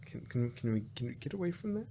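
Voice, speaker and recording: A man co-hosting a casual review podcast, rambling along haltingly and often repeating words as he goes. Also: mid-range voice, sing-song, mellow, husky. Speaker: podcast co-host reviewing audio dramas.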